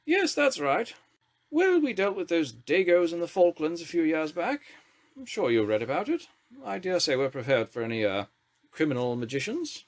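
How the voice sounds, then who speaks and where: Brusque older stateswoman, voiced by a male reader, drawling and dismissive, high and lively. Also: normal speed. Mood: bantering. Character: brusque imperious older woman prime minister.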